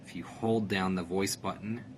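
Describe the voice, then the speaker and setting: Guy, really deep voice, casual matter-of-fact how-to walkthrough, noticeable room noise. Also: fairly even intonation, moderate pace. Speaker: product reviewer giving a setup tutorial. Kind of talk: casual step-by-step tutorial narration. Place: indoors in a room. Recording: clear background noise, room ambience.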